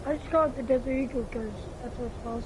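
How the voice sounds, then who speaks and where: A woman's voice: high voice, very fast. A woman chatting casually while playing a video game. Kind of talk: casual chat during gaming. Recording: noisy home recording, clear background noise. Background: background noise.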